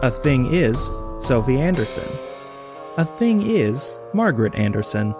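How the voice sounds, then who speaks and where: A man narrating an audio drama from a script in a measured storytelling manner. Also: mid-range voice, big pitch swings, over music.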